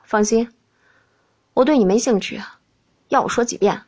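A man's voice: very high-pitched, moderate pace, darkish tone, voice pushed down. A woman playing a teasing, nonchalant young prince in an audiobook. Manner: nonchalant, slurred when drunk. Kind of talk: audiobook character voice.

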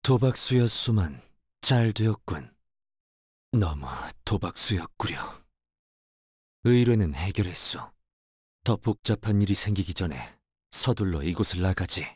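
Low, measured young fixer. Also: male voice, lively intonation, husky, no room sound, clean studio sound. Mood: composed. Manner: measured, archaic formal speech, reserved. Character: composed, formal young male office fixer, melancholy and dutiful. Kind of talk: scripted game character voice lines.

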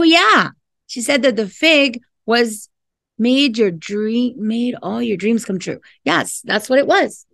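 A woman's voice: on the high side, gravelly, no echo, clean studio sound. A middle-aged woman reading a picture book aloud to children, expressively, stopping to explain and to prompt listeners with questions. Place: classroom, indoors.

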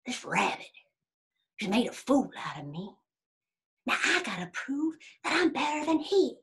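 A woman voicing a boastful, sulky male bear in a storybook, with a blustering, drawn-out and emphatic delivery. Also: high-pitched, big pitch swings, fairly bright tone, pitched above the performer's own voice. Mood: scheming, bragging. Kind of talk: animated storybook reading.

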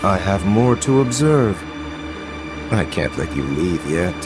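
An actor playing a cold, scheming young male villain in a video game, with a measured, taunting manner. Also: low voice, sing-song, full character voice. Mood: cold, menacing. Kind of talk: video game cutscene acting. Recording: loud music behind.

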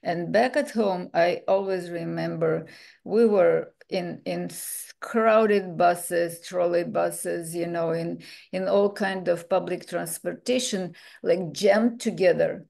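A woman from the former Soviet Union, slowly and reflectively on a group video call. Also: fairly low pitch, creaky, a little mellow. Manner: slow, reflective, with many "you know"s. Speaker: support group participant. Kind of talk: casual group discussion.

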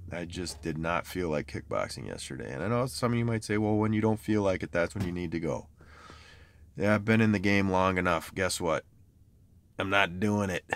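A middle-aged man vlogging casually from his car and home, chatty and a self-described complainer. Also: deep voice. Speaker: fitness vlogger. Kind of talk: casual vlog talk. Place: parked car, then outside a truck, then home office.

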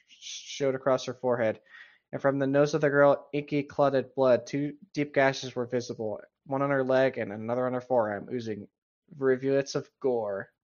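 Guy reading stories out loud casually, irreverent and sweary, kinda dull lofi mic. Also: medium pitch. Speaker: co-host reading stories aloud. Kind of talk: casual reading aloud with joking commentary. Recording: dull, lo-fi microphone.

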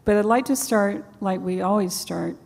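A woman moderating an oral history panel, asking gentle, unhurried questions. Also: mid-range voice, creaky. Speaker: interviewer, moderator. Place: conference hall. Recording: echoey hall, faint background noise.

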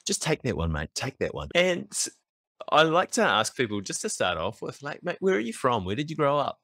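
A man's voice: mid-range voice, wildly swooping pitch, a little fast, fairly bright tone, no echo. A man in his thirties with a New Zealand accent, hosting a podcast over a video call and asking questions in a matey, friendly way. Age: 30s. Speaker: podcast host. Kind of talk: casual interview chat. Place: indoor room. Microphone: headset on a video call.

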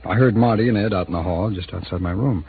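A man's voice: lowish voice, fast talker, natural voice. An actor in his thirties playing an easygoing, slightly evasive male city attorney in an old radio drama. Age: 30s. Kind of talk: 1950s radio drama acting. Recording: tinny, hissy old radio broadcast.

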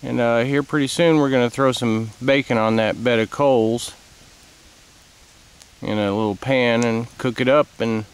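A middle-aged man narrating casually to a camera outdoors in the woods, in an unhurried, folksy way. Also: medium pitch, fairly even intonation, clear, resonant tone, poor audio. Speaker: outdoor vlogger. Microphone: camcorder a few feet away.

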